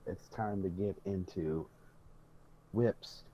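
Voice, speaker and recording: A man's voice: deep voice, slow pace, mellow. A man co-hosting a podcast in casual chat, recorded with dull lo-fi audio. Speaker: podcast co-host.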